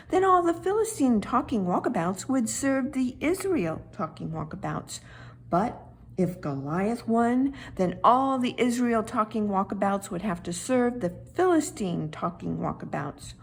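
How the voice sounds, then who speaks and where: A woman voicing a childlike, tender-hearted talking stone that tells a children's Bible story with dramatic, hushed and emphatic delivery. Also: a little high. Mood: solemn.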